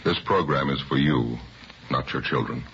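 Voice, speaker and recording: Deep, emphatic male radio announcer. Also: moderate pace, background noise. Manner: declamatory, emphatic. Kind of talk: scripted 1950s radio announcing and sponsor pitch. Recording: muffled vintage radio recording. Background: music.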